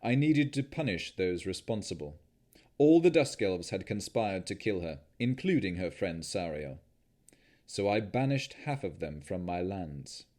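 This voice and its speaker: A man's voice: sits low, animated, unhurried, a little mellow. A man voicing a proud, cold male vampire lord, imperious and stern.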